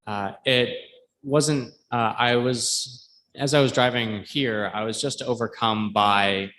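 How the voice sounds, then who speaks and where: A man speaking in a halting, reflective way, sharing informally as a member of a church congregation. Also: mid-range voice, steady tone, a bit bright. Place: church service, live. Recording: faint background noise, live room.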